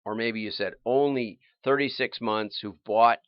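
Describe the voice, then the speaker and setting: A man hosting a livestream, explaining things informally in a halting, think-aloud way with restarts and asides. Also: medium pitch, fairly even intonation, clear, resonant tone, dry recording, lo-fi sound. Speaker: livestream host and marketing consultant.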